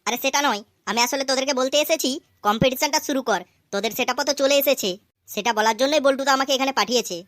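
A cheeky little cartoon sidekick voiced by a woman, talking very fast in a fairly high voice. The character is loyal and scrappy, full of teasing chatter, recorded dry. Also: a bit bright, no echo. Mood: taunting. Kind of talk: cartoon dialogue.

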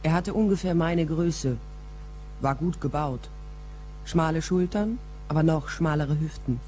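A woman's voice: sits low, unhurried, mellow, a little hiss or hum. An actress playing a tall, sardonic female private detective in a radio play, dry and challenging as she talks and narrates. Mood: wry narrating. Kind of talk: radio play dialogue and first-person narration.

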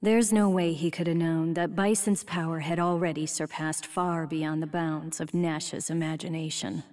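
An actress playing a focused female agent who narrates in a calm, matter-of-fact way in a game cutscene. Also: deep voice, moderate pace, distant mic, lightly acted. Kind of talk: video game voice acting.

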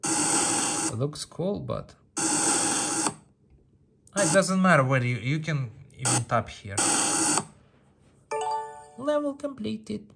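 A man's voice: a little high, very animated, takes it slowly, vocal fry, pauses often, background noise. A man in giving casual, playful gameplay commentary, thinking aloud as he plays. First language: not English. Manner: playful, thinking aloud, with sung-out sound effects. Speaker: gaming video host.

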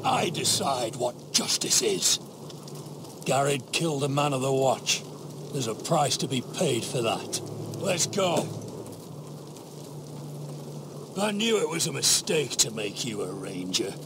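Gravelly, menacing watch officer. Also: male voice, mid-range voice, expressive, noisy background. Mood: contemptuous. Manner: deliberate, scornful, threatening. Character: stern, menacing middle-aged male watch officer, accusing and contemptuous. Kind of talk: dramatic video game acting.

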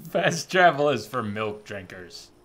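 A man's voice: medium pitch, sing-song, takes it slowly, a bit bright. A man in his thirties giving casual, easygoing live commentary as he streams a game. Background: game music.

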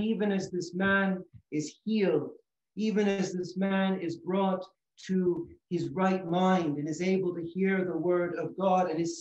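A middle-aged man, an Orthodox priest, giving a sermon in a measured, earnest way with emphatic phrasing, recorded in a small room. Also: high-pitched, darkish tone. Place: small room chapel in a house, indoors. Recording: echoey room, slightly dull sound.

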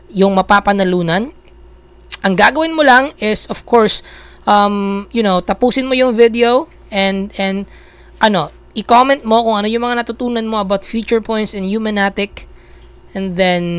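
A woman's voice: mid-range voice, smooth, no echo. A woman with a Filipino accent hosting a casual explainer vlog, often checking in with listeners and repeating points. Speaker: video host. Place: small indoor room at a computer.

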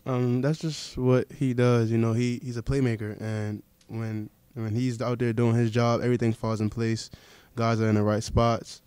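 A young man, a college basketball player, answering reporters after a game in a press room, speaking softly with lots of fillers. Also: mid-range voice, little pitch movement, normal speed, hardly any pauses, clean tone, no echo. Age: young adult. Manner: soft-spoken, many fillers. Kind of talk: postgame press conference answers. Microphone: table mic.

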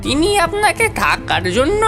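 A woman playing a grave station master who recounts a haunting in a measured, scripted horror cartoon style. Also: sits high, huge pitch swings, exaggerated voice. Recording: music under the voice.

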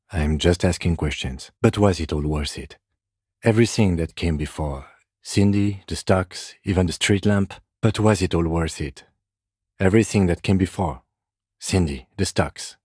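A male video game police detective with a very deep, slightly husky voice. He is composed and dryly formal, measuring each phrase with restrained exasperation. The sound is close and dry. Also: darkish tone, dry recording. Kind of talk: scripted video game dialogue.

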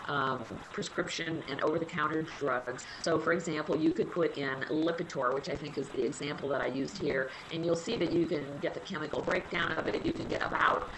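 A woman's voice: low-pitched, noisy background. A woman with a slight cold and a somewhat nasal voice, chatting casually as she presents free health resources in a webinar.

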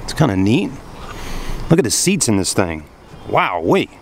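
A man's voice: fairly low pitch, very animated, normal speed, gravelly, background noise. A man in his thirties giving a casual, enthusiastic walkaround of a car, camera held close. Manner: enthusiastic, rambling, lots of fillers. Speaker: car dealership vlogger. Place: outdoors in a parking lot and an open car.

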